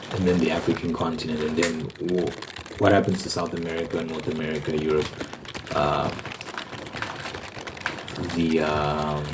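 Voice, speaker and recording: Really low voice doing a casual asmr ramble, noisy background. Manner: rambling, informal. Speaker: host, ASMR creator. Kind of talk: ASMR ramble, informal monologue.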